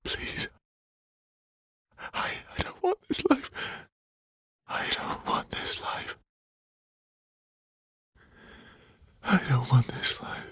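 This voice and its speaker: An actor playing a weary, gentle, guilt-ridden expedition guide in a dramatic video game, with a husky, breathy, hushed and halting delivery. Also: male voice, fairly high pitch, wildly swooping pitch, no echo, studio quality, full character voice. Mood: desperate pleading. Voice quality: husky, breathy, often near a whisper.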